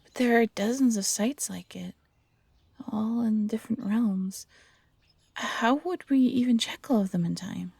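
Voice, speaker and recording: A woman playing a wry fox hybrid traveller in a fantasy audio roleplay, with a breathy, gravelly voice. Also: fairly high pitch, a little slow, darkish tone, no echo, heavily acted. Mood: worried.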